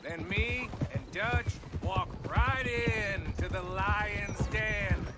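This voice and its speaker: An actor playing a scheming, folksy American outlaw in a western game, talking in a drawn-out, persuasive way. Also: male voice, high-pitched, fairly even intonation, very noisy. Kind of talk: dramatic cinematic game acting.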